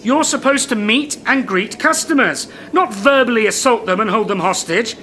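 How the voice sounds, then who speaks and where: A man's voice: sits high, animated, normal speed, bright, forceful tone, a little hiss or hum. A man with a British accent playing a flustered, pompous, exasperated supermarket manager in a comedic audio drama.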